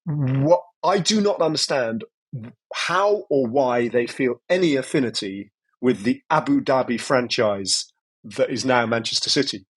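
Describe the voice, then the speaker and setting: British man, husky voice, conversational podcast guest, opinionated, rambling with restarts. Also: mid-range voice, a little slow, low-quality recording. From Britain. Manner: opinionated, digressive, many restarts and "you know". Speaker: podcast guest, former football commentator. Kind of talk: casual conversational interview.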